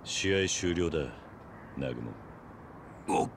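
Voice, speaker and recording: An actor playing a stoic, intimidating middle-aged ex-yakuza in a video game drama, speaking in a curt, deadpan way. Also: male voice, sits low, extremely slow, slight background noise. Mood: cool menace.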